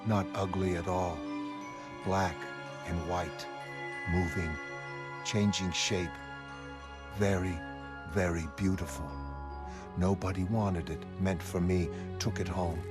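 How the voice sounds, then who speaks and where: A male actor plays a grim vigilante behind bars with a low voice. He speaks slowly in clipped fragments, hostile and detached, as in a dark comic-book drama with music behind him. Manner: clipped, terse sentence fragments, flat menace. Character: grim, hostile masked vigilante prisoner, middle-aged man. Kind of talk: motion comic voice acting.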